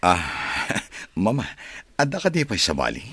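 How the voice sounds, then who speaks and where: An actor playing a smitten, evasive young gentleman, a grown son, in an Ilocano radio drama. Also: on the low side, wildly swooping pitch, a little slow, husky, no room sound, heavily acted. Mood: evasive, stammering.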